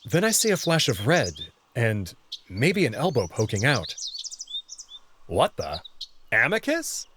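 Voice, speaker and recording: A man's voice: mid-range voice, wildly swooping pitch, slow, pauses often, noisy background. A man playing a bewildered young human who narrates his own story in a visual novel and speaks hesitantly. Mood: narrating, bewildered. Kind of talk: first-person visual novel narration and dialogue.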